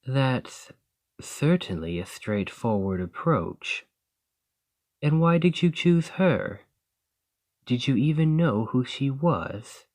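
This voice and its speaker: A man voicing a calm, gracious male lamia noble merchant in an ASMR roleplay, speaking softly in a measured, formal way. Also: medium pitch. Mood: curious. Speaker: boss speaking to the listener.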